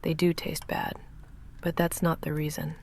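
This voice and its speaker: A woman playing a shy, lovestruck vampire in an intimate ASMR roleplay, speaking softly and breathily with halting, confessional hesitation, often in a whisper. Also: low-pitched, flat delivery, vocal fry. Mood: afraid, confessing. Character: shy lovestruck female vampire roommate, confessing and self-restrained.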